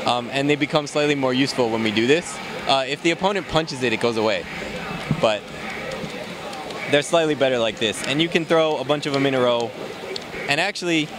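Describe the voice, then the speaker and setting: A man casually walking through a game character's moves, explaining in a relaxed way with many fillers, recorded at a noisy event. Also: on the high side. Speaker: game developer demoing a character. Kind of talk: casual gameplay walkthrough. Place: convention floor, noisy.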